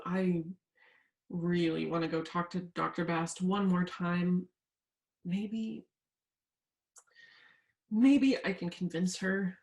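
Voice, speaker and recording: A woman's voice: mid-range voice, darkish tone. A young woman playing an insistent, haunted student searching for the truth in a staged reading, earnest and full of pressing questions. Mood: hopeful, restless. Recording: roomy, echoing sound.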